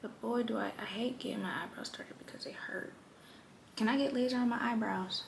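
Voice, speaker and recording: A woman's voice: a little high, steady tone, brisk, vocal fry, a little hiss or hum. A young woman vlogger chatting playfully through her everyday makeup routine at her vanity, with joking asides. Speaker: lifestyle vlogger. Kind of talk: casual chatty makeup tutorial.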